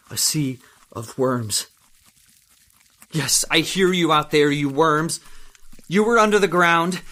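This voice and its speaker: A terrified man on the edge of madness played by a male actor in an old-time horror radio drama. His voice sits fairly high for a man. He speaks in halting, repetitive bursts with heavy melodrama and haunted guilt. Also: pushed and bright.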